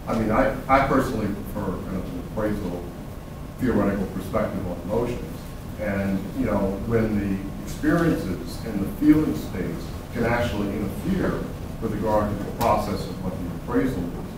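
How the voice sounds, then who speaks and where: A man's voice: fairly low pitch, normal speed, noisy background. A man with a big voice speaking at length as a panelist in an academic discussion. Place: conference room. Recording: echoey room from far off.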